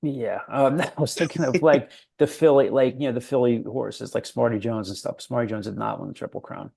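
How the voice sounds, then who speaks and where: A man's voice: mid-range voice, fairly quick, vocal fry, dark tone, breathy edge. A young man hosting a casual sports podcast, chatty and self-deprecating, with lots of fillers. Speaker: podcast host.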